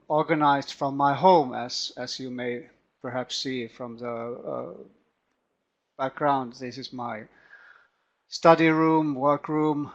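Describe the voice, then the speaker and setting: A middle-aged man with a Finnish accent gives an online lecture as a teacher trainer, speaking haltingly and often repeating words. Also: mid-range voice. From Finland. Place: home study room. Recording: muffled lo-fi online call audio.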